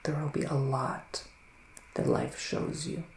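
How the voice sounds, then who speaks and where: Casting: a man to play a solemn psychic witch for ASMR, unhurried and soft-spoken, breathy pauses, recorded in a roomy space with faint noise. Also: fairly high pitch, level tone. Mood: solemn, foreboding. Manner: slow, deliberate, breathy pauses with sighs. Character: solemn psychic male witch giving a reading. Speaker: ASMR performer. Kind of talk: ASMR roleplay, soft-spoken and half-whispered.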